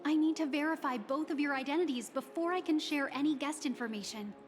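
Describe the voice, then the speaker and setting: An actress playing a courteous hotel receptionist in a scripted video game, formal and polite. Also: female voice, high voice, quick pace, slight background noise, natural voice. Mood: apologetic. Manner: formal, customer-service politeness. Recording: music behind, game stream.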